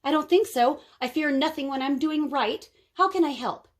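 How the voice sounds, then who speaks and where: A woman's voice: high-pitched, normal speed, pitched above the performer's own voice, lightly acted. A woman voicing a brave, earnest and eager young girl in a children's storybook, sincere in manner.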